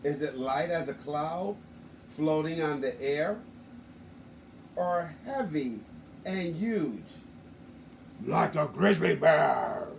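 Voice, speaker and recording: A man reading a children's picture book aloud in a lively, sing-song way, taking turns with the host. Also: a little high, takes it slowly, creaky, long pauses. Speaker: co-reader. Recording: echoey room, far from the mic, faint noise.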